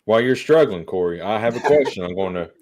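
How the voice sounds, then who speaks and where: A man's voice: fairly low pitch, lively intonation, fast, darkish tone. A man co-hosting a podcast in casual chat, with an eager, easygoing manner. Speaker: podcast co-host.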